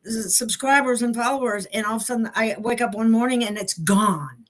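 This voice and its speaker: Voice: medium pitch, fairly quick, fairly bright tone. A show host chatting casually, rambling and laughing often between remarks. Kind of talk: casual online chat.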